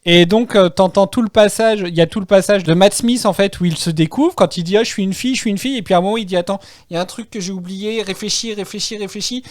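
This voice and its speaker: A man hosting a casual group podcast, repeating himself and telling things in an animated, hesitant way. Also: high voice, fast talker, hardly any pauses, no room sound, clean studio sound. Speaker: podcast host.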